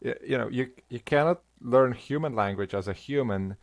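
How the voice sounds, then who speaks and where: A middle-aged man with a Slovenian first language, a linguistics professor talking as a guest in an interview, halting with many restarts and fillers. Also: mid-range voice. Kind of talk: conversational interview.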